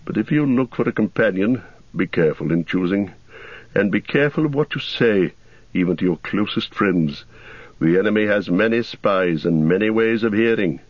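A man's voice: fairly low pitch, expressive, moderate pace, breathy edge, dry recording, lo-fi sound. A man playing a stern old wizard. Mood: grave counsel. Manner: grave, commanding.

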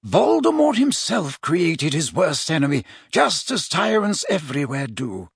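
A man's voice: on the high side, very animated, a little slow, breathy edge, no echo, studio quality, heavily acted. A man voicing an old, wise headmaster wizard in an audiobook, speaking formally and emphatically as an earnest, urgent mentor. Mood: impatient, agitated.

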